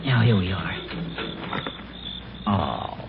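An American actor playing a timid, bumbling suburban husband who hesitates and stammers in an old-time radio comedy. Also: male voice, low-pitched, expressive, very slow, heavy background noise, exaggerated voice. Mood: nervous, bluffing. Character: timid, bumbling suburban husband and father hiding his fear. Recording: muffled old radio recording with music.

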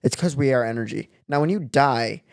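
A man's voice: medium pitch, fairly quick, vocal fry, dry recording, studio quality. A young man co-hosting a podcast who explains his views at length in a casual, philosophizing way. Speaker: podcast co-host. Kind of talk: casual chat.

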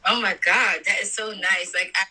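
A woman's voice: mid-range voice, fairly even intonation, normal speed, sharp tone. A middle-aged mother interviewing a guest, gushing and enthusiastic. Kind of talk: casual interview.

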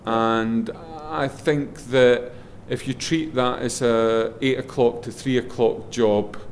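A middle-aged man with a loud voice, a guest in a relaxed interview, rambling a little with frequent ums and restarts as he talks. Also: medium pitch, takes it slowly, a little hiss or hum. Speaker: guest, head of a schools group.

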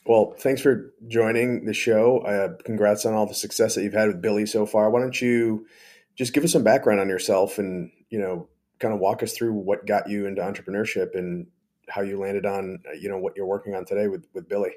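A man hosting a podcast interview, talking in a relaxed, casual way with some hesitant fillers. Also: fairly low pitch, a little fast. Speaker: podcast host.

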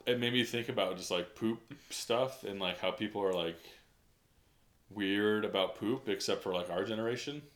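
A man's voice: lowish voice, moderate pace. A man co-hosting a podcast, teasing his friends and laughing hard. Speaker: podcast co-host. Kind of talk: casual chat.

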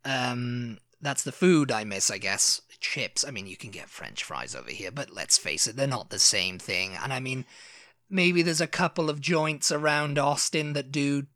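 A man's voice: medium pitch, expressive, normal speed, sharp tone, no echo, studio quality. A man who grew up in Wales, co-hosting a casual chat and rambling from one story to the next with restarts. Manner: chatty, rambling, self-interrupting. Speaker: podcast co-host. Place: living in Texas.

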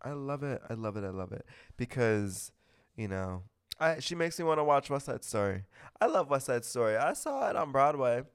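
A man's voice: medium pitch, sing-song, normal speed, clear, resonant tone. A man hosting a podcast in a casual, gossipy chat, talking with a stuffy nose and gushing, opinionated comments. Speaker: podcast host.

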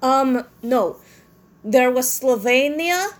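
A woman's voice: high-pitched, animated, takes it slowly. A woman voicing the country Slovenia as an exasperated young woman who keeps insisting she exists, in a fan comedy podcast. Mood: insistent. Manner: insistent, repeating herself, frustrated.

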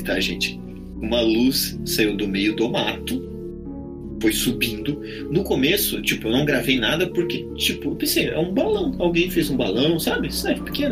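A man telling a story casually in animated, slangy speech. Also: mid-range voice. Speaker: podcast guest telling his own paranormal experiences. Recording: loud music bed behind the voice. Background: loud instrumental music.

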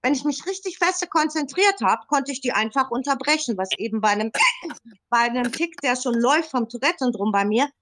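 A woman's voice: high voice, lively intonation, a little fast, a bit bright. A woman of fifty-three giving a casual personal account as a podcast guest, explaining things step by step.

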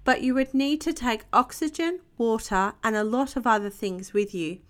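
A woman reads as a patient female gazelle who teaches playgroup in a children's storybook. Her voice is high, encouraging and clearly instructive. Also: moderate pace, close to an everyday voice.

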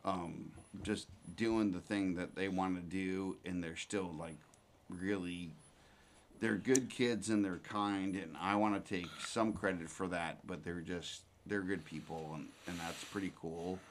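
A man in his forties hosting a casual chat, talking in halting, rambling phrases with many restarts. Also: sits low, steady tone, slight background noise. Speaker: podcast host.

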